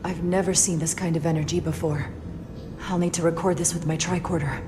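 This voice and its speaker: An actress playing a focused, capable science officer in a sci-fi video game, speaking precisely and earnestly. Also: female voice, fairly low pitch, fairly even intonation, a little fast, noisy background, close to an everyday voice. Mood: urgent. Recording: loud game music underneath. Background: game soundtrack.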